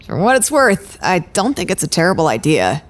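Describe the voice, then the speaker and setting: A woman's voice: fairly low pitch, sing-song, brisk. An actress in an audio drama playing a wry, encouraging, seasoned female facility worker, casual in manner.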